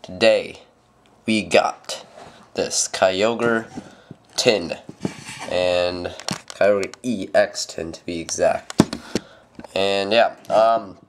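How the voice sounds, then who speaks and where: A teenage boy with a congested, stuffed-up nose, rambling through a pack opening with plenty of fillers. His voice sits low and his talk is slow. The home camera recording carries clear background noise. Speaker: channel host. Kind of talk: casual card pack opening. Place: home room at a table. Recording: noisy home camera audio.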